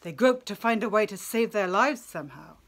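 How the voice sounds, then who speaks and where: A middle-aged woman giving a dramatic, expressive literary reading in a measured, often hushed way. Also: medium pitch. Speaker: translator reading own work. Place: small plain room indoors.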